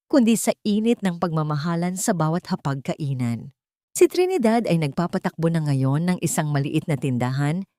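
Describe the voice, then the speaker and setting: A woman's voice: fairly low pitch, dark tone, dry recording, clean studio sound. A woman with a Filipino accent narrating a scripted dramatic story as an audiobook storyteller.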